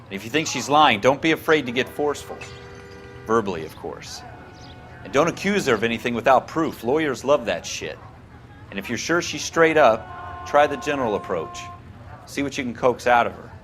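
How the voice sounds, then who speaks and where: Animated, streetwise American beat cop played by a man. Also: medium pitch, moderate pace, soft background music, close to an everyday voice. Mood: coaching, wry. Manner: colloquial, instructing. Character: brisk, streetwise male beat cop, crude and helpful colleague. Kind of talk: dramatic video game acting, 1940s crime drama.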